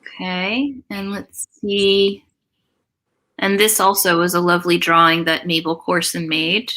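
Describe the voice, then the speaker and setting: A woman's voice: fairly low pitch, flat delivery, a little slow, pushed and bright. A woman co-hosting a livestream in a casual, chatty and informal way. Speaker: livestream co-host.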